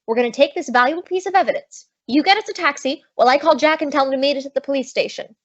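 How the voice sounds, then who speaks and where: A woman's voice: high voice, racing, muffled recording, lightly acted. A woman playing a brash, plucky young wisecracking blonde in old-time radio comedy, slangy and indignant. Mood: brisk, urgent.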